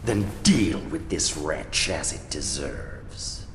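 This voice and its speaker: A man playing a haughty, cruel human lord and slave master in an animated fantasy game, pompous, condescending and menacing in a theatrical way. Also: extremely low pitch, takes it slowly, creaky, slight background noise, heavily acted. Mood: cold, disappointed. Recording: echoey hall reverb under music.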